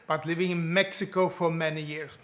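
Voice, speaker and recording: A man in his seventies from Sweden with a Swedish accent, talking to camera in earnest, plain-spoken and slightly halting English. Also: a little high, fairly even intonation. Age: 70s. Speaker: online business vlogger. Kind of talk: scripted talk to camera.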